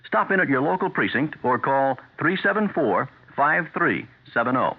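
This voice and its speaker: An American man with a husky voice reading a scripted public service announcement as a police sergeant. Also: mid-range voice, very animated, normal speed. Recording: tinny narrow-band radio broadcast.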